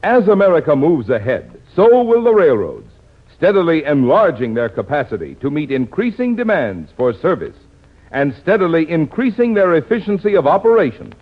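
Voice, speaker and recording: A man's voice: a little high, sing-song, moderate pace. A man reading scripted announcements in the manner of a 1950s radio announcer. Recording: tinny, narrow 1950s radio broadcast.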